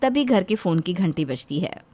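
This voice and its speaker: A woman's voice: lowish voice, very animated, normal speed, dark tone, slightly rough. A woman reading scripted narration for a moral story as its storyteller.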